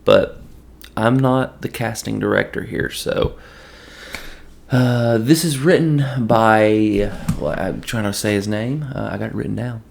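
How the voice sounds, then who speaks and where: A hoarse man with a gravelly voice from allergies, reviewing a movie in a rambling, casual way with lots of restarts. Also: lowish voice, a little slow, slight background noise. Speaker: podcast host.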